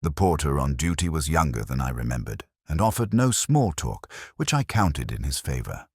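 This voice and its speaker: Very low voiced guy reading a detective story, slow thoughtful first-person narration, lots of pitch movement. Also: sing-song, slightly rough, no echo, studio quality. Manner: measured, reflective.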